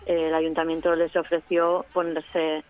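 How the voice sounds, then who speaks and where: A woman's voice: deep voice, monotone, measured pace, faint music behind. A woman, a radio documentary producer, talking in an interview, chatty and laughing easily. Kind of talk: interview talk. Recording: phone-like line.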